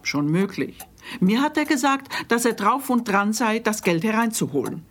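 An actress playing a reserved, self-assured wife hiring a detective in a radio play, matter-of-fact and firm. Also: female voice, fairly high pitch, big pitch swings, moderate pace, fairly bright tone. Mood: firm, worried. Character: self-assured, reserved married female client.

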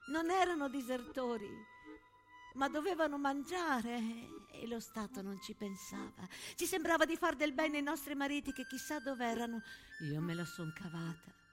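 A woman's voice: sits high, animated, moderate pace, fairly bright tone. An actress playing a brave peasant woman from the mountains, tenderly telling her story in a theatrical monologue. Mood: proud, tender. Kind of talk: dramatic storytelling monologue with music. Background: accordion music.

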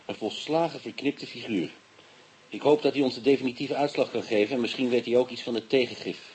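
An actor playing an impatient, dry-humored police detective in a radio drama. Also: male voice, mid-range voice, a little fast, a little mellow, slight background noise, natural voice, poor audio.

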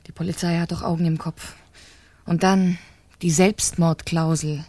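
An actress playing a cold, impatient wife who plots murder, insistent and clipped, in a 1970s crime radio play. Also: female voice, lowish voice, fairly even intonation, measured pace, dark tone, no echo. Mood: cool, calculating.

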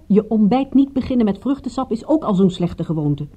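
Casting: actress as detective's sharp-tongued woman companion, dark husky voice, radio-play banter, slightly dull recording. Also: mid-range voice, lively intonation, fairly quick, close to an everyday voice. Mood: casual. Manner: teasing, pointed banter. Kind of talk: radio drama acting.

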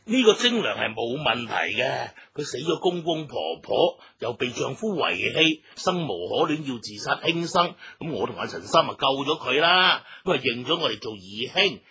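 An actor playing a brash, wheedling swindler with pushy, slick sales patter in a Cantonese radio drama. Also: male voice, medium pitch, very animated, normal speed, vocal fry, few pauses, bright, forceful tone, heavily acted. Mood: pushy haggling. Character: brash, wheedling male swindler selling a woman.

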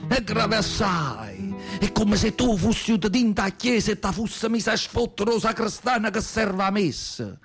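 A man playing an elderly, devout mother from Southern Italy in a radio monologue, chatty and nostalgic, with a Neapolitan accent and a confiding, rambling way of talking. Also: high-pitched. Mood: animated reminiscing. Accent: southern Italian, Neapolitan. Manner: confiding, rambling, rhetorical asides to listeners. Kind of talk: theatrical radio monologue storytelling.

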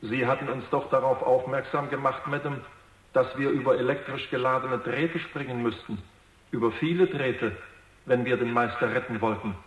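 An echoing, anxious young robot. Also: male voice, mid-range voice, steady tone, moderate pace, fairly bright tone. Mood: earnest, reasoning. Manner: deferential, earnest, slightly hesitant. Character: new male robot, naive, polite, earnest and anxious. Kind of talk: radio drama acting. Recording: echoey, roomy radio drama production.

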